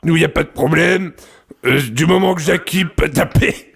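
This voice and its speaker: A man puts on an earnest building-site worker on a prank call. His voice is very gravelly and sits a little high, and he rambles hesitantly and pesters. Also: normal speed, a bit bright. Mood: insistent. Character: earnest rambling male construction worker starting a bar rock band. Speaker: prank caller. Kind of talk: improvised prank phone call.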